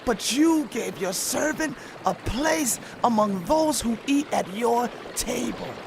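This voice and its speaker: An actor playing a lame, wronged and submissive royal grandson, pleading deferentially in a dramatized audio Bible. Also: male voice, extremely high pitch, expressive, slow pace, creaky, noisy background, exaggerated voice.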